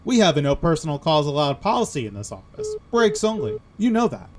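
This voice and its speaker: Curt, exasperated male office boss, on the higher side, demanding and stern, radio-play style. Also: big pitch swings, brisk, noisy background. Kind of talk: audio drama acting.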